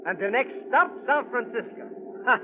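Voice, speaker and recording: A man's voice: very high-pitched, animated, normal speed, noisy background. A man playing a nervy, money-grubbing comic sidekick in a vintage radio drama, fussy and wheedling. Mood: boastful. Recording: muffled, band-limited old radio recording.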